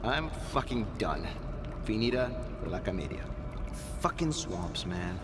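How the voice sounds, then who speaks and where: An actor playing a shady, foul-mouthed and weary male swamp guide in a video game, with gruff, slangy, grumbling delivery. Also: on the low side, sing-song, unhurried, in a large hall, noisy background. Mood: fed up. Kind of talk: video game cutscene acting.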